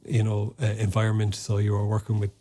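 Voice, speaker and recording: Hesitant Irish man, low and flat. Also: fast talker. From Ireland. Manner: hesitant, many fillers and restarts. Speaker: podcast guest, former soldier. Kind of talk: casual interview conversation.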